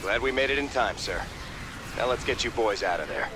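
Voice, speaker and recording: Man playing an animated, earnest starship captain. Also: mid-range voice, brisk, noisy background, close to an everyday voice. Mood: confident, loyal. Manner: crisp military address. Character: earnest, disciplined young male starship captain. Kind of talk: video game cutscene acting. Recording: loud music behind the voice.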